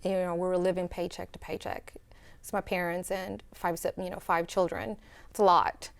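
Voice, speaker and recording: A woman's voice: on the low side, little pitch movement, moderate pace, gravelly. A young woman from El Salvador, a student guest in a studio interview, speaking earnestly and reflectively with fillers and restarts.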